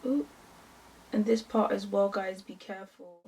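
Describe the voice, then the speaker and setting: A woman's voice: mid-range voice, steady tone, measured pace, mellow, slightly rough, distant mic, rough recording. Chatty young London woman, off-mic. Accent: London English. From England. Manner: casual, chatty, many fillers. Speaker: beauty vlogger. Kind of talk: makeup tutorial chat.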